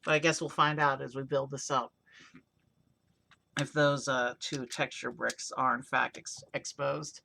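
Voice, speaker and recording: A hoarse man with a raspy voice casually narrating a toy car build on a stream, rambling with many fillers. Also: medium pitch, flat delivery, measured pace, sharp tone, a little hiss or hum. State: hoarse from overuse. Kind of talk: casual live-stream commentary.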